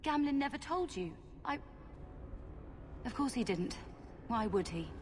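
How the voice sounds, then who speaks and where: An actress playing a young female rogue in a fantasy game, sharp-tongued, sassy and defiant. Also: on the high side, expressive, unhurried, in a large hall, noisy background. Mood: bitter. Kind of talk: dramatic game dialogue.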